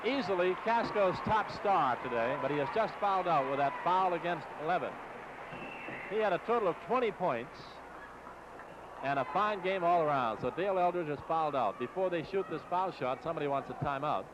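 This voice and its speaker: An American man doing live radio basketball play-by-play in clipped, rapid-fire short phrases, on an old AM broadcast. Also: a little high, expressive. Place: basketball game in a gym. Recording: muffled noisy 1960s AM radio broadcast.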